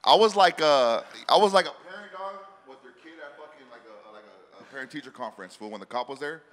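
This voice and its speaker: A man's voice: on the high side, big pitch swings, quick pace, a bit bright. A man co-hosting a podcast, telling profane slangy stories in casual chat, always saying dog and fool. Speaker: podcast co-host. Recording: hall reverb.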